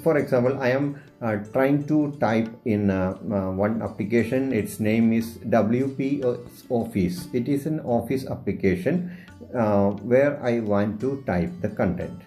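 A man with an Indian English accent and Malayalam as his first language, talking through a screen-recorded phone tutorial with many pauses and filler sounds. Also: medium pitch, warm tone, faint music behind. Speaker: teacher.

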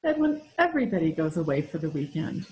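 Melodramatic wife female actor, animated and expressive, genteel but put-upon, for vintage radio comedy. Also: sits low, fast talker, rough recording. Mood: plaintive. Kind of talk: amateur old-time radio comedy recreation. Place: room at home, video call. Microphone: video call.

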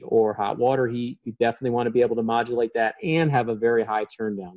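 A man's voice: mid-range voice, darkish tone, muffled recording. Brief: man in his thirties, trainer, plain explanatory delivery, webcam sound. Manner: explanatory, plain-spoken, frequent ums. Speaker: HVAC trainer. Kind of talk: technical presentation.

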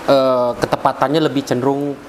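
Young man, interview host, short follow-ups, lapel mic, clear background hiss. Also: mid-range voice, little pitch movement, normal speed. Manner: echoes the guest's words, asks short follow-up questions. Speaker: podcast host. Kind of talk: interview, frequent short backchannels. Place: office room with sofas, indoors. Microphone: clip-on lapel mic.

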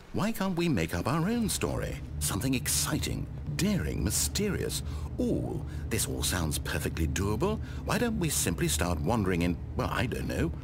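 A man's voice: low voice, heavily acted. A man with a British accent playing a fussy, whimsical, easily exasperated storyteller in a video game, conversational and theatrical. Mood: scheming, eager. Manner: conversational, self-interrupting, dramatic. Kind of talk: theatrical scripted narration.

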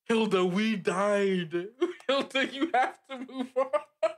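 A man's voice: high voice, slow. A man hosting as game master, joking theatrically and often laughing through his words in playful podcast banter.

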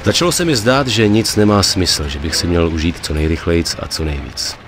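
A man's voice: low-pitched, background noise. An actor playing a weary, cynical young gangster in a game cutscene, talking matter-of-factly about his life of crime. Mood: weary, reflective. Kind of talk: dramatic game cutscene dialogue and narration. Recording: music under the voice.

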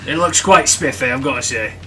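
A man acts out a brash Scottish gamer with a bright, forceful voice and a blunt, sweary way of talking. He gives comic gameplay commentary and gets shouty, with music playing behind him. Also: medium pitch, brisk. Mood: brash, chatty. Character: brash, foul-mouthed, angry-sounding Scottish man.

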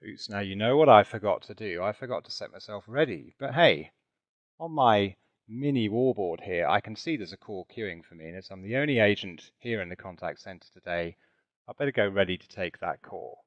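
Low-voiced man presenting a chatty demo. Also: animated, a little fast, no room sound, highs cut off. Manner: chatty, informal, rhetorical asides. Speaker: presenter of a software demonstration. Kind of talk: conversational product demo narration with role-play.

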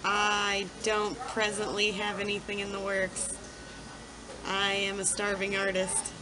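A woman's voice: medium pitch, completely flat delivery, unhurried, poor audio. A woman in her thirties reminiscing casually as an interview guest in a restaurant booth.

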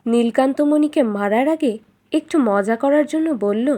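High-voiced woman narrating a fairytale. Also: normal speed, dark tone. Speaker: storyteller.

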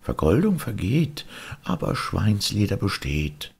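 A man's voice: fairly low pitch, huge pitch swings, takes it slowly, creaky, breathy edge, voice pushed up, heavily acted. A man voicing a smug old scrap of pigskin wallpaper, declaiming in a storybook.

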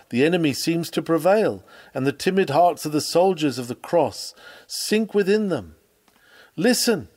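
A man's voice: medium pitch, darkish tone. A man with a mid-range voice reads a historic sermon aloud. His delivery is earnest and oratorical, in the manner of a preacher addressing his listeners.

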